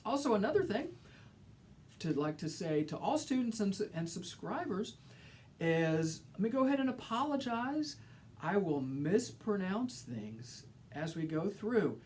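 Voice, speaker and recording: A man's voice: high voice, big pitch swings, a little slow, a little mellow, slight background noise. A middle-aged man from Alabama with a Southern accent, giving an earnest, enthusiastic welcome lecture as a college instructor, often repeating phrases for emphasis. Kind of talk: lecture-style course welcome.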